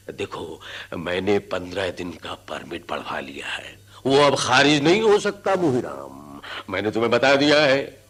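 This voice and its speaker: An actor in a radio drama playing a greedy, domineering moneylender boss with a commanding, condescending manner. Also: male voice, low voice, fairly bright tone, slightly rough, full character voice.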